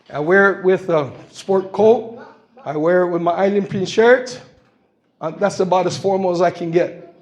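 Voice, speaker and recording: High-voiced older man telling stories. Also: darkish tone. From Guam. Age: 60s. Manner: storytelling, acts out quoted voices. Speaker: public forum speaker. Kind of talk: impassioned public comment. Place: public meeting hall.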